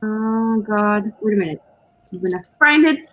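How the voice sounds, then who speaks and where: A woman whose first language is not English, chatting casually as co-host of a writing stream, often pausing and hunting for words. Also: mid-range voice, animated, highs cut off, faint music behind. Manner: hesitant, searching for words, giggly. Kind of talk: casual chat on a writing livestream.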